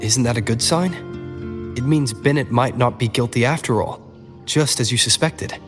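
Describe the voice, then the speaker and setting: A calm, observant male companion, voiced by an actor for a game. His mid-range voice is steady and supportive. It carries long reverb, with music playing clearly underneath. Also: mid-range voice, moderate pace, close to an everyday voice. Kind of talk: dramatic game dialogue.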